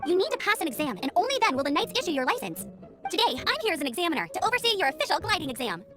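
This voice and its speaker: Perky teenage girl scout, very high voice, quick pace, eager and earnest, video game character. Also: big pitch swings, over music.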